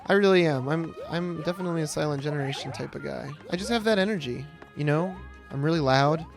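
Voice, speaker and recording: A man's voice: fairly high pitch, quick pace, gravelly, faint music behind. A man in his late twenties rambles while streaming a game as host, swearing freely and poking fun at himself. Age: late 20s. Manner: rambling, profane and self-mocking. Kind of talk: casual gameplay commentary.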